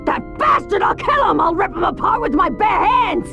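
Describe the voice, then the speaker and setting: Screeching, vicious old mob matriarch. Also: female voice, very high-pitched, very animated, moderate pace, gravelly, bright, forceful tone, soft background music, exaggerated voice. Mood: enraged. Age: older. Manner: screeching, threatening. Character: vicious, foul-mouthed old crime family matriarch, grieving and vengeful. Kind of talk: video game cutscene acting.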